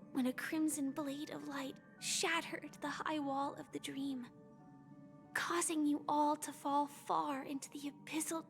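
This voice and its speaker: A woman playing a gentle, earnest young woman in a video game, soft-spoken and solemn with breathy hesitations. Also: high voice. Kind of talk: scripted video game dialogue.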